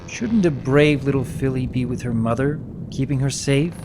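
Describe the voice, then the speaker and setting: A man's voice: mid-range voice, normal speed, lightly acted. A man voicing a kindly, formal young stallion baron in a dramatic story reading. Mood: reassuring. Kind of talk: dramatic fan fiction reading. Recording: echoey, long reverb, faint background noise.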